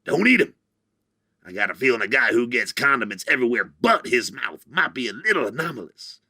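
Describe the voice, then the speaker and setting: A man playing a sleazy, fast-talking male hustler with brash salesman patter. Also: medium pitch, very animated, bright, forceful tone, slightly rough, no echo, clean studio sound, heavily acted. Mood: scheming.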